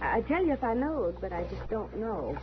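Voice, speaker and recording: A woman's voice: medium pitch, big pitch swings, fairly quick, lightly acted. An actress playing a loyal, stubborn frontier woman with a rural American accent, insistent and defensive, in a radio western. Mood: defensive, anxious. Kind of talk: old-time radio western drama acting. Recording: muffled old radio broadcast, faint noise.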